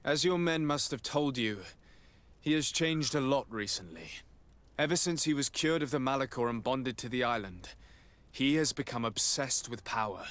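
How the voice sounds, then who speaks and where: An actor playing an earnest young nobleman in a video game, speaking formally and gravely as a resolute envoy. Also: mid-range voice, animated, normal speed, fairly bright tone, close to an everyday voice. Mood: grave warning. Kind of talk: dramatic video game dialogue.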